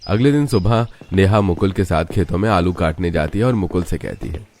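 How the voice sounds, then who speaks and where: A man's voice: deep voice, brisk, darkish tone. A man reading scripted narration for a moral story.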